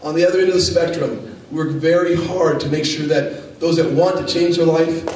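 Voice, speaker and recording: A hoarse man gives a firm campaign speech, hammering his points home and repeating them. His voice is a little higher than most men's, and it comes from some distance off in a very echoey hall with a faint hiss underneath. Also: fairly even intonation, normal speed, a little mellow. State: losing his voice. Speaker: candidate, current county attorney. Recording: echoey room from far off, faint noise.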